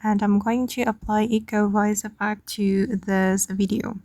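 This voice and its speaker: A woman's voice: mid-range voice, fairly even intonation, a little slow, warm tone, slight background noise. A woman hosting a how-to tutorial, narrating each step in a casual, instructional way. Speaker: tutorial host.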